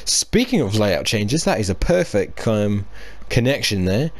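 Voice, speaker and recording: Chatty podcast host, lowish voice. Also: male voice, big pitch swings, measured pace. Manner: chatty, hesitant, with many fillers and restarts. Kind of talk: casual chat.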